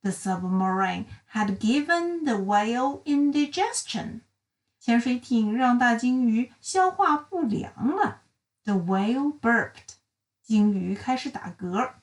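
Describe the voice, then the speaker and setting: A woman narrating a children's storybook in an expressive, dramatic and deliberate storytelling style. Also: fairly high pitch, far from the mic, low-quality recording. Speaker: read-aloud storyteller for children.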